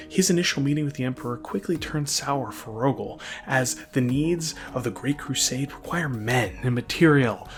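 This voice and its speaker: A man hosting and narrating a fan lore video, mixing scripted storytelling with casual, emphatic, wry asides. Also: mid-range voice. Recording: music behind the voice. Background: background music.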